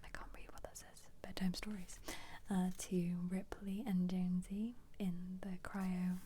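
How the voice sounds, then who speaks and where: Whispering woman, soft ASMR ramble. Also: on the low side, little pitch movement, measured pace, a little hiss or hum. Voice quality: breathy unvoiced whisper. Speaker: ASMR video creator.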